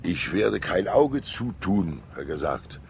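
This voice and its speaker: A fat, wily old state councillor in a vintage radio crime play. His very low voice swings widely in pitch as he rambles theatrically and teases, on a slightly hissy old recording. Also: very animated, vocal fry, exaggerated voice. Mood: mocking. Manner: theatrical, jovial, rambling, with pauses and asides. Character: fat, wily, talkative state councillor who loves teasing people. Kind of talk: 1960s radio crime drama acting.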